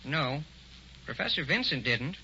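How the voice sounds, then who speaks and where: An actor playing a chatty, worried hotel clerk in 1940s radio acting style. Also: male voice, fairly high pitch, very animated, unhurried, pushed and bright, heavily acted. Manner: folksy, chatty. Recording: dull old radio recording, faint hiss.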